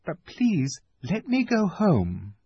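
Guy putting on an eager little girl voice, high and bouncy, kinda husky. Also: huge pitch swings, takes it slowly, mellow, no room sound, dull audio, heavily acted. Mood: gentle. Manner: eager, breathless insistence. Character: earnest excitable little girl.

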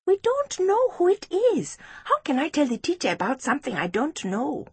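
A woman's voice: high voice, huge pitch swings, moderate pace, no echo, voice pushed up. A woman voicing a fair-minded, firm young schoolgirl in a children's story, earnest and questioning. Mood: puzzled, defensive.

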